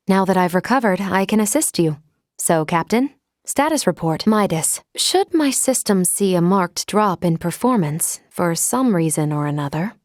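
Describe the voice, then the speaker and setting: An actress voicing a loyal, selfless female android crew officer in a video game, speaking in a measured, formal and clinical way. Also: medium pitch, a little mellow, dry recording, clean studio sound, lightly acted. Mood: composed, gently attentive.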